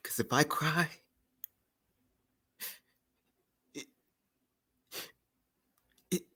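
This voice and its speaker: A grieving young man played by a male actor unloads in a dramatic monologue. His mid-pitched voice is bitter and raw with emotion, halting in heavy pauses and repeated words. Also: pushed and bright, breathy edge, exaggerated voice. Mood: grieving, choked.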